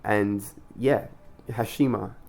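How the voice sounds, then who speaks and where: Low-pitched man in earnest conversation. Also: fairly even intonation, slow pace, gravelly, a little mellow. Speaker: radio show host and class organiser. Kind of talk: casual interview conversation.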